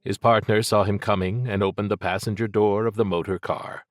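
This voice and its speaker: A man reading scripted fiction as an audiobook narrator, in a measured, dramatic storytelling manner. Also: on the low side, flat delivery, dry recording, studio quality.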